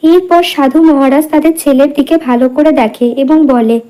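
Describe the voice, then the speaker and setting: A woman narrator reads a scripted children's folk story. Her voice is high-pitched, in a storybook style. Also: fairly even intonation, normal speed, dark tone, rough recording.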